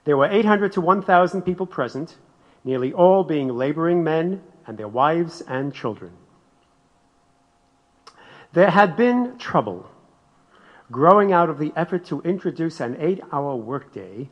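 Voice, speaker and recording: An actor playing a solemn state governor giving a formal, declamatory speech in a radio drama. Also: male voice, a little high. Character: solemn 19th-century male state governor making speech. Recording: dull recording in reverberant hall.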